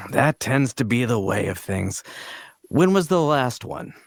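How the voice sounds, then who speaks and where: A man's voice: medium pitch, sing-song, slow, no room sound, clean studio sound, full character voice. A man playing a shrewd, blunt adventurer for hire in a fantasy audio drama, probing and matter-of-fact. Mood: probing, concerned. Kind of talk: audio drama table-read dialogue.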